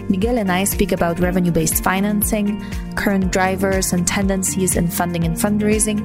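A woman hosting a business podcast, delivering a scripted intro and interview questions in a polished, measured way. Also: medium pitch. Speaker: podcast host.

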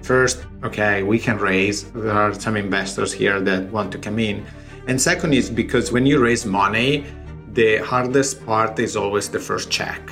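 A man with a noticeable Italian accent talks through startup numbers one step at a time. His voice sits fairly low and has a gravelly edge. He speaks the way a founder does in a recorded interview. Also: a little slow, fairly bright tone, faint music behind. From Italy.

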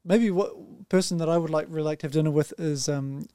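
A man who heads a company department, a native English speaker, talking as a podcast guest in a friendly, relaxed way with "you know" fillers. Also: on the high side, fairly quick, clean tone, dry recording, studio quality. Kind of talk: casual podcast conversation.

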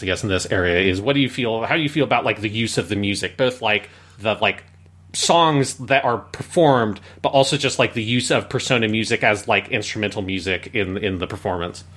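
A man's voice: fairly low pitch, fairly bright tone. A man hosting a casual podcast discussion, thinking aloud in a conversational way. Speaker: podcast host.

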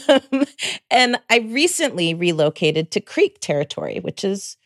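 A woman from the Bay Area hosts a conversation in a reflective, chatty manner, often tagging her thoughts with a questioning "right?". Her voice sits at a middling pitch and sounds dry and close, with little room around it. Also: sing-song, unhurried, dry recording. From San Francisco Bay Area. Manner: reflective, laughs often, frequent "right?" asides. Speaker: host. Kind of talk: conversational interview.

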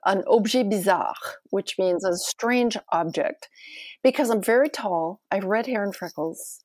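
Soft-voiced woman telling stories. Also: mid-range voice, dry recording. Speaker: guest. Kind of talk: casual interview chat.

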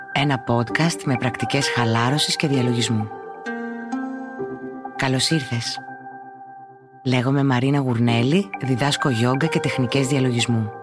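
Low-pitched woman guiding a meditation. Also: music in the background. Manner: calm, instructive, deliberate phrasing. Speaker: yoga and meditation teacher, podcast host. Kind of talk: guided meditation narration.

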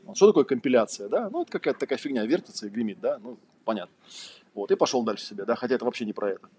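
Male lecturer, rapid delivery, husky tone, informal and rambling, wry storyteller. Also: medium pitch, animated, darkish tone. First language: Russian. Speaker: trainer, lecturer. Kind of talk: informal lecture with anecdotes and examples.

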